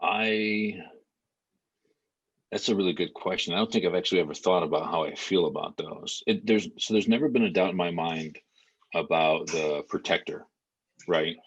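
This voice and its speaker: A man with a very low, deep voice talks through a story in a plain, matter-of-fact way. He keeps stopping and restarting his sentences, and "like" and "um" fill the gaps. The audio is slightly muffled and lo-fi, like a home podcast recording. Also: breathy edge. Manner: rambling, halting, full of fillers and restarts. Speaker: podcast guest. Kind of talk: casual podcast conversation and storytelling.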